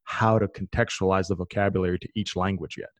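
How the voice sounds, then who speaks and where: A man's voice: sits low, fairly even intonation, fast, dry recording. A man whose first language is Serbian, hosting a casual conversation and explaining things emphatically.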